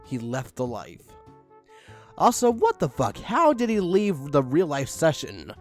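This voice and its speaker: A man's voice: fairly high pitch, wildly swooping pitch, measured pace, soft background music. A man giving a comedic dramatic reading of fan fiction, theatrical, with exasperated asides. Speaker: fan fiction reader, channel host.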